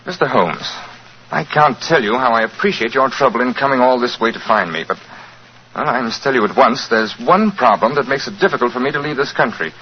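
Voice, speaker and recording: An actor in a 1940s radio drama playing an urbane, genial English gentleman in exile, with a British accent. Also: medium pitch, lively intonation, fairly quick, close to an everyday voice. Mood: apologetic. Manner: urbane, breezy, hesitating with polite asides. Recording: muffled, hissy old radio recording.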